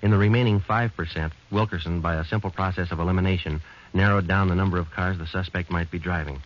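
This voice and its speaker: Male actor: hard-boiled detective narrator, deep and clipped, old radio sound. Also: fast, close to an everyday voice. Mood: flat. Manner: flat, clipped, matter-of-fact delivery. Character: terse male police sergeant narrating his case. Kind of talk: 1940s radio drama acting. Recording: muffled, hissy old radio broadcast.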